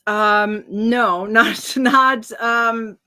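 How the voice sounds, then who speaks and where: A woman's voice: fairly high pitch, steady tone, drawn-out words, sharp tone, clean tone. Chatty woman with a slight German accent. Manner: chatty, bubbly, many fillers and restarts. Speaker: guest, crochet designer and educator. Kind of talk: casual interview chat.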